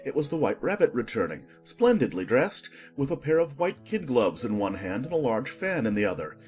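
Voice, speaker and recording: A man reading scripted storybook narration in an expressive storytelling manner. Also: medium pitch, moderate pace, soft background music.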